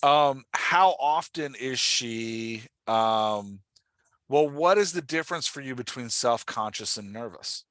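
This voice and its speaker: A man with a bright, forceful voice speaks as a host and coach, recorded close and dry. He explains emphatically in a conversational style and often steers with leading questions. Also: medium pitch, animated, takes it slowly, no echo. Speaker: podcast host and writing coach.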